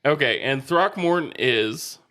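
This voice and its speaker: A man's voice: medium pitch, expressive, unhurried, bright, forceful tone. A man co-hosting a podcast in a casual, wry and teasing way. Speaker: podcast co-host. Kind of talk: casual chat.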